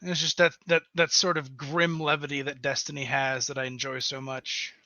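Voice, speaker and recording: A man's voice: on the high side, level tone, moderate pace, pushed and bright, no echo. A man chatting casually about gaming as a podcast guest, on a dull, dry lo-fi voice channel.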